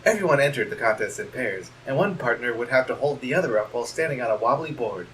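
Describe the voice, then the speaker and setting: A kind motherly goat woman with slow, proper speech and a weakness for puns, played by a man. Her tone swings up brightly on the jokes. The voice sits a little back from the mic, with faint hiss. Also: medium pitch, expressive, recorded from a distance, lightly acted, poor audio. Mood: sweet. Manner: slow, gentle and proper, fond of corny jokes.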